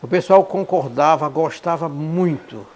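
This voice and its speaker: A man's voice: a little high, measured pace, darkish tone, slight background noise. An older man with a northeastern Brazilian accent, reminiscing in an interview and repeating words for emphasis.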